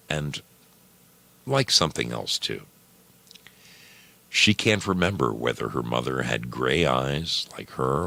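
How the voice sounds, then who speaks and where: A man narrating a story expressively, with measured, dramatic phrasing. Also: very deep voice, gravelly, bright, forceful tone, no echo.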